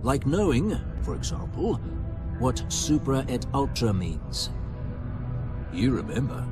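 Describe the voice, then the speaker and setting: An actor playing a shrewd, wary veteran infantry officer in a video game, speaking in a deliberate, probing way. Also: male voice, medium pitch, big pitch swings. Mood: suspicious, probing. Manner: probing, deliberate, clipped sentences. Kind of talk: dramatic game dialogue. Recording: music behind the voice.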